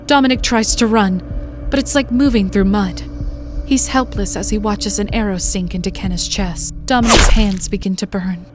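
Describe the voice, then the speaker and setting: A woman's voice: medium pitch, normal speed, background noise, natural voice. A woman narrating a scripted fantasy drama as its storyteller. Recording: music behind the voice.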